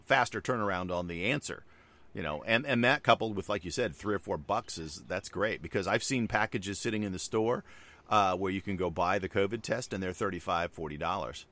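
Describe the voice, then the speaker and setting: A middle-aged man with a very low voice talks casually as a podcast co-host. He rambles, fills gaps with asides, and circles back to his point. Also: fairly quick, fairly bright tone. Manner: rambling, loose, many fillers and asides. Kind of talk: casual conversation.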